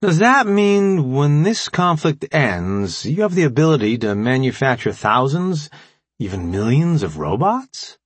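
A man's voice: medium pitch, very animated, unhurried, creaky, slightly rough, no echo, pitched above the performer's own voice, heavily acted. A man voicing an eager, cocky, playful young kid brother as a character in an audiobook, with casual boastful delivery.

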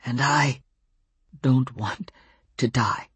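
A woman voicing an old male werewolf in an audiobook, speaking slowly and thickly in halting phrases with a Welsh accent. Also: breathy edge, no room sound, exaggerated voice. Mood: grave, halting. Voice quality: low, hushed, slow and thick. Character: old male werewolf, slow, menacing yet almost shy. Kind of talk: audiobook character dialogue.

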